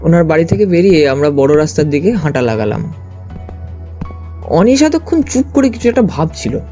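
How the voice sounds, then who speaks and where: Mellow, higher-voiced male story narrator. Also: very animated, moderate pace. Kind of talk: first-person scripted detective story narration. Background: background music.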